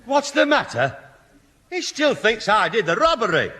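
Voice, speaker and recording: A man's voice: high-pitched, huge pitch swings, moderate pace, sharp tone, full character voice. An actor playing an exasperated, threatening, short-tempered man in an old radio sitcom, blustering and scolding. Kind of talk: 1970 radio sitcom acting. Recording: noisy reverberant old radio recording.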